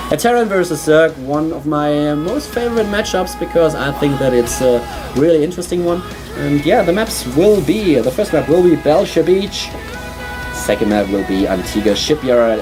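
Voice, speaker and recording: A man from Germany with a German accent, casting an esports stream on his own in a small studio, chatty with many fillers and restarts. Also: mid-range voice. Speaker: esports caster. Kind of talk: solo esports commentary.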